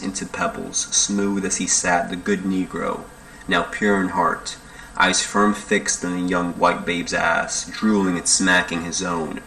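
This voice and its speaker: A man reading poetry aloud as a dramatic recitation, with deliberate, emphatic phrasing in short bursts. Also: deep voice, bright, forceful tone, rough recording. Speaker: poetry reader.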